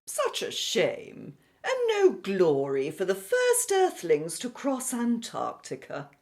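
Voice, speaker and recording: A woman's voice: medium pitch, huge pitch swings, slow pace, a little mellow, exaggerated voice. An actress playing a plucky, keen-eyed female explorer in a radio drama, theatrical and exclamatory. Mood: wistful.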